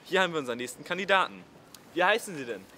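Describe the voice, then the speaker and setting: A young man presenting a youth news show and doing street interviews with a handheld mic, in a brisk, upbeat manner. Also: on the high side, very animated, sharp tone, echoey room, slight background noise. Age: young adult. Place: studio desk, then town square outdoors. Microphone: handheld reporter mic outdoors.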